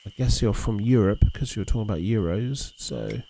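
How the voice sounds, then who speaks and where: A man hosting a game livestream, chatting in a relaxed, rambling way with a gravelly voice. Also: on the low side, a little fast. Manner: relaxed, rambling, talks to chat and reads messages aloud. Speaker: livestream host. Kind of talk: casual chat while streaming a fishing game.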